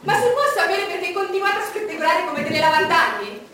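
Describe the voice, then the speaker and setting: An actress playing an imperious, vain, solitary English queen in a declamatory stage monologue with dramatic pauses. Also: very high voice, animated, bright, forceful tone, distant mic, exaggerated voice. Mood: mocking, scornful. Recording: noisy, echoey stage recording from afar.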